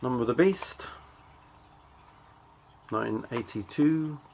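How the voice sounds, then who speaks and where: A middle-aged man giving a casual show-and-tell of his collection, talking in a halting, offhand way and reading out titles in short bursts. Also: mid-range voice, vocal fry, noisy background. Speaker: record collector and channel host.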